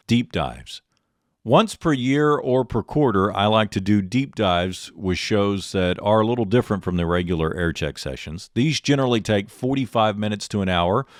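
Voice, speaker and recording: A middle-aged man hosting a podcast, delivering a scripted instructional monologue in a measured, articulate presenter's way. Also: fairly low pitch, no room sound, studio quality. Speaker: podcast host and radio talent coach.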